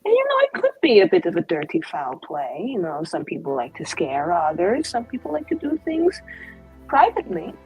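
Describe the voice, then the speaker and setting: A woman playing a coy, gossiping noblewoman in a tabletop game, speaking in an arch, theatrical way with hesitant, drawn-out phrasing. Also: lowish voice, wildly swooping pitch, dull audio, soft background music. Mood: conspiratorial. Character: gossiping, coy noblewoman feigning disbelief at lurid rumors.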